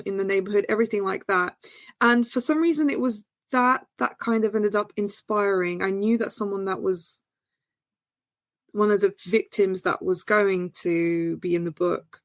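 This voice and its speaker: A woman's voice: no echo, clean studio sound. A woman from Portugal talking as a guest novelist in a casual interview, chatty and hesitant with many fillers and restarts. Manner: rises high and animated when answering.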